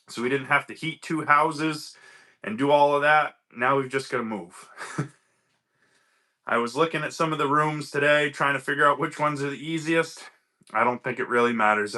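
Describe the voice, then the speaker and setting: A man with a bright, forceful voice hosts a casual livestream. He chats easily and greets viewers by name as they join. He sounds a little stuffy from a lingering cold. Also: medium pitch. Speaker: livestream host.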